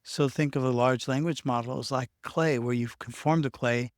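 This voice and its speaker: A man's voice: medium pitch, fairly even intonation, moderate pace, no room sound, studio quality. Rambling man explaining worries. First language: English. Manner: loose and rambling, with frequent restarts. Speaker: self-help video host. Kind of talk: unscripted explanatory talk. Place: Norway.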